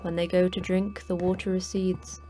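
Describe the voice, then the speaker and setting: Terse, low-voiced woman facility manager played by an actress. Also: flat delivery, normal speed, dark tone, slight background noise, lightly acted. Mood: dry, grim. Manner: clipped, matter-of-fact, dry. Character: terse, dry female manager of a secret Antarctic monster prison. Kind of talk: audio drama acting.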